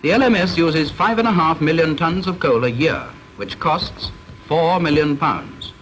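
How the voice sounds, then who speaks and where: A man with a British accent giving formal scripted narration for a training film, measured, instructive and stern, on a vintage soundtrack with faint hiss. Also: fairly high pitch, animated, fairly bright tone, low-quality recording.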